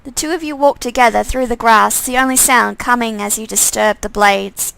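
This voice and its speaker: A woman's voice: on the high side, normal speed, vocal fry, a bit bright, clean tone, poor audio. A woman streamer reading a visual novel game aloud, stumbling over lines and re-reading them with asides to herself.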